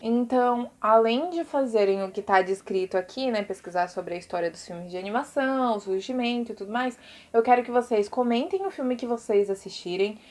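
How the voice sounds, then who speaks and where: High-voiced Brazilian woman teaching informally. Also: brisk, darkish tone. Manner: chatty, explanatory, frequent check-in tags. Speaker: teacher giving a recorded art class. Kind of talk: informal video lesson to pupils.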